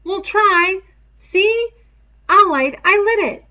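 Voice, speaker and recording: A woman voicing an anxious, stubborn schoolboy in a storybook, shrill and defensive, with ungrammatical boyish speech. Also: lively intonation, slow, bright, forceful tone, lo-fi sound, exaggerated voice. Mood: stubborn, eager. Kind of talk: storybook read-aloud character voice.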